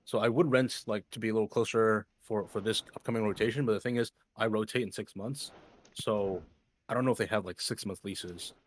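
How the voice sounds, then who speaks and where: A man's voice: lowish voice, fairly even intonation, a little fast, slight background noise. A man in his twenties chatting casually with friends, loose and slangy, with some swearing. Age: 20s. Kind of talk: casual chat among friends.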